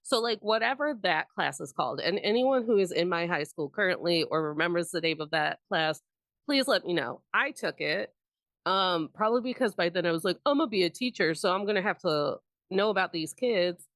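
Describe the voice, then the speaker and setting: A woman's voice: lowish voice, expressive, fairly quick, fairly bright tone, no echo. A woman from Indiana hosting a podcast, chatting casually and laughing often at her own stories. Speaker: podcast host. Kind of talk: casual chat.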